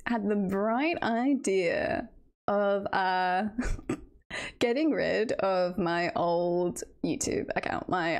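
Slow-paced woman chatting on stream. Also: medium pitch. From United Kingdom. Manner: giggly, self-deprecating, halting. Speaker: livestreamer, VTuber host. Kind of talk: casual livestream chat, storytelling.

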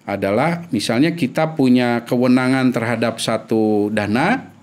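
A middle-aged man with a Sundanese accent, a guest epidemiologist speaking earnestly in a podcast interview, pausing with fillers and restarts. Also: medium pitch, a little mellow. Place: small studio with wood-slat wall. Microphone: desk mic on boom arm.

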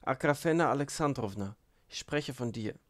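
A man voicing a gentle, devout young man in an audiobook, earnest, solemn and heartfelt. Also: mid-range voice, animated, moderate pace, lightly acted. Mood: solemn, moved.

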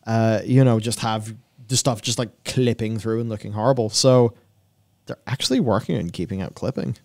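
A man's voice: lowish voice, moderate pace, darkish tone. A young man co-hosting a gaming news show, reading from notes and adding casual commentary with frequent asides. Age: young adult. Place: small indoor studio with acoustic foam panels. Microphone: broadcast mic on a boom arm, close.

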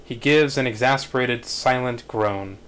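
A man's voice: mid-range voice, a little slow, fairly bright tone, clear, resonant tone. A man reading stage directions as scripted narration at a screenplay table read.

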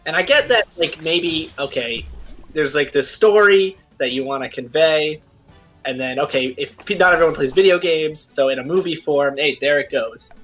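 A young man with a slightly high voice talks casually as a stream co-host. His conversation is informal, with faint music behind it. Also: normal speed, fairly bright tone. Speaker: co-host in a live stream discussion. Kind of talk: casual chat. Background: background music.

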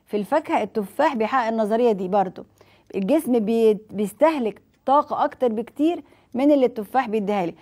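Egyptian lady doc on tv, talks super fast, slightly high warm voice, answers her own questions, studio. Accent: Egyptian Arabic. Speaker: nutrition doctor hosting a TV health show. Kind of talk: explaining diet advice to viewers. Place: TV studio set, indoors.

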